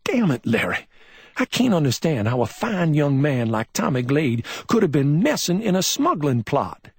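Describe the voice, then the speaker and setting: A man voicing a slow, baffled male officer from the Carolinas, hesitant and drawling. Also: on the high side, sing-song, vocal fry, breathy edge, dry recording, clean studio sound, pitched above the performer's own voice, full character voice. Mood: glum.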